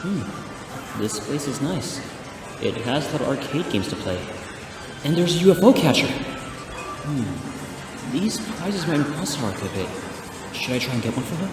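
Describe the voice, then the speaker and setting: A man's voice: on the high side, very animated, normal speed, long pauses. A man acting an earnest, upbeat young martial arts trainee in an amateur audio drama, musing aloud. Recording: very echoey reverb, music underneath.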